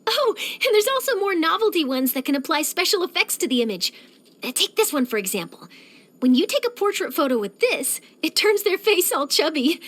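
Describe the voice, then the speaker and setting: Eager high-pitched young girl reporter. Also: a little fast, full character voice. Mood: friendly, eager. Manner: animated, chatty, expressive, theatrical delivery. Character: young female newspaper reporter, eager, curious, nosy, cheerful. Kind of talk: scripted video game character voice lines.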